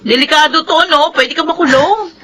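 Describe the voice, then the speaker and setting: A woman's voice: high-pitched, normal speed, sharp tone, slight background noise. A woman playing a worried, scolding hardworking wife and mother in a Filipino television drama, with a Filipino accent. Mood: alarmed, pleading. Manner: nagging, pleading.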